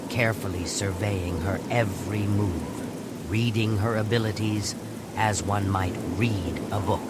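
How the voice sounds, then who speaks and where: A man reading scripted dramatic fantasy game narration in a measured, ominous storytelling manner. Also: on the low side, heavy background noise.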